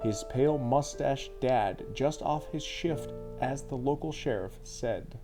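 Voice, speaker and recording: A man's voice: mid-range voice, slow. A man reading his own novel as scripted audiobook narration, with music playing underneath. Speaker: author reading own book.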